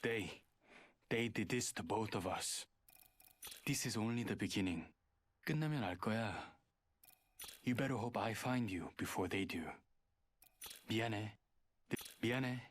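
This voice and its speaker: A man's voice: on the low side, a little fast, long pauses, natural voice. An actor voicing a guarded, arrogant male hacker in a video game, speaking in a terse, serious, superior way. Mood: stern, warning. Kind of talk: video game character voice lines.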